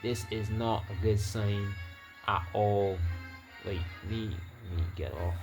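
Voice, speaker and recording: A man's voice: on the low side, steady tone, slow pace, over music. A man from Barbados with a Barbadian accent, chatting loosely while he plays a video game, often trailing off and restarting his words. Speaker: gaming YouTuber. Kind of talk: casual gameplay commentary.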